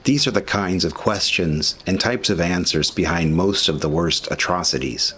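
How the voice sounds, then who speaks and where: A man reading scripted documentary narration with commentary in a measured, formal way. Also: low-pitched, bright, forceful tone, a little hiss or hum. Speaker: history video narrator.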